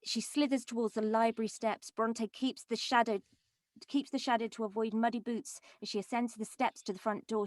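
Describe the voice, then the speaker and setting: A woman's voice: a little high, no room sound. A woman reading stage directions as scripted narration at a table read. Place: online call from indoor recording setups.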